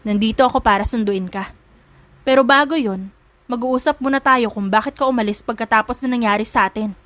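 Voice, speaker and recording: A woman's voice: fairly high pitch, a little fast, a bit bright, no echo, natural voice. A woman voicing a possessive, lovestruck young rich man in a romance audio drama, insistent and pleading. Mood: stern, insistent.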